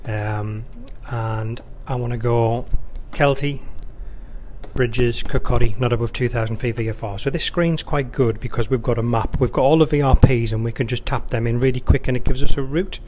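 Male air traffic controller, mid-pitched, talking through a hands-on demonstration conversationally, noticeable background noise. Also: moderate pace, a little mellow. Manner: conversational, explanatory, with rattled-off radio phraseology. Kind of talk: informal instructional walkthrough. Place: indoor control room or workstation, beside a touchscreen.

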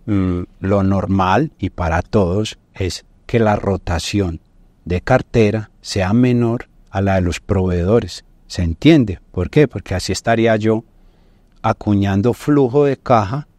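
Deep-voiced middle-aged Colombian man explaining. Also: measured pace, darkish tone, dry recording. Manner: didactic, checks in with tag questions. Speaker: guest business finance consultant. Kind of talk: podcast interview, explaining. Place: indoor podcast studio. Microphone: lapel mic.

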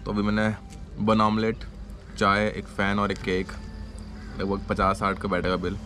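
A man's voice: fairly low pitch, completely flat delivery, normal speed, sharp tone, slight background noise. A man in his thirties chatting casually to camera as a travel vlogger, friendly and informal, recorded outdoors on a handheld camera. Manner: friendly, addresses viewers and locals as brother. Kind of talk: casual vlog chat to camera. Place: outdoors on mountain roads and villages.

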